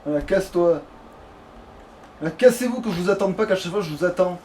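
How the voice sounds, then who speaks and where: A man with a notably high-pitched voice chats casually as he plays a game. He rambles and grumbles in crude, sweary slang, recorded at home over clearly audible background noise. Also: fast talker, a little mellow, recorded from a distance. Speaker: let's play streamer. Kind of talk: casual gameplay commentary chat.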